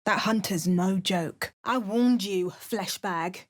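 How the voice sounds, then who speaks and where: A woman's voice: mid-range voice, slow pace. A woman with an English accent voicing a hardened, wry female adventurer in a video game, making dry remarks and chanting spells. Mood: grim. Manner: chants spell words in a lively, sing-song way. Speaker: player character.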